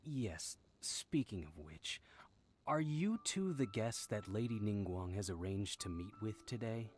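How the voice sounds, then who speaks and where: Low-voiced, dutiful male guard. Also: animated, measured pace, slight background noise. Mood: polite, inquiring. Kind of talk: scripted video game dialogue. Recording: loud music behind the voice.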